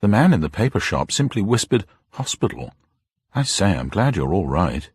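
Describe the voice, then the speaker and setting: A man's voice: low voice, very animated, moderate pace, husky, dry recording, full character voice. A man voicing a cool, matter-of-fact young woman in a radio drama reading. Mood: concerned.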